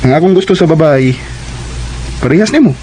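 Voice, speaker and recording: A man's voice: fairly high pitch, animated, fairly quick, mellow, a little hiss or hum, lightly acted, low-quality recording. A man playing an eager, bashful young student suitor in a Cebuano radio drama, with a Cebuano Filipino accent. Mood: earnest pleading. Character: eager, bashful young male student courting his classmate.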